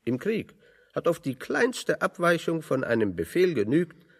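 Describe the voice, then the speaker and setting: An actor playing a wry, pedantic intellectual exile in German radio drama, explaining things with irony. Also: male voice, fairly high pitch, very animated, unhurried, husky, big-room sound, exaggerated voice. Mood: wry, anecdotal. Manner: pedantic, ironic, expository. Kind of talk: German radio play dialogue.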